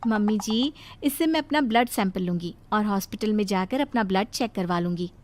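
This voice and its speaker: A woman's voice: medium pitch, expressive, fairly quick, no room sound, pitched below the performer's own voice, lightly acted. A woman voicing a headstrong, self-assured young nurse and daughter-in-law, confident and dismissive, in a cartoon story. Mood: insistent, breezy. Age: young adult. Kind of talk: cartoon moral story voice acting.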